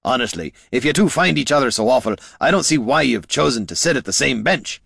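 A man's voice: medium pitch, expressive, fairly quick, gravelly, fairly bright tone, husky, dry recording, studio quality, voice pushed up, heavily acted. A man voicing a blunt, exasperated male veteran adventurer in an audiobook, forthright in manner.

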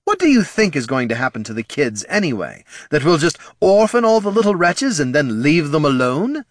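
A callous talking skull with a glib salesman's manner, acted by a man. The voice is raised and very lively, pushing its points in a comic fantasy audiobook. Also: a little high, normal speed, dry recording, studio quality, voice pushed up. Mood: brash, defensive. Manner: glib, pushy salesman patter. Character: brash, callous undead skull, male head of marketing.

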